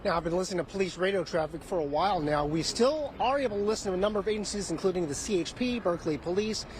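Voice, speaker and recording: Male field reporter, slightly higher voice. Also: a little hiss or hum. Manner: crisp, measured news delivery. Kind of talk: news report, live stand-up. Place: outdoors on location.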